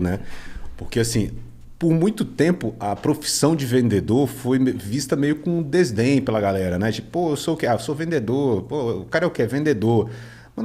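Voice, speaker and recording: A man's voice: mid-range voice, darkish tone, husky. A Brazilian man hosting a podcast in casual chat, often tagging his points with little questions seeking agreement. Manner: constantly checks agreement with short tag questions. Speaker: podcast host.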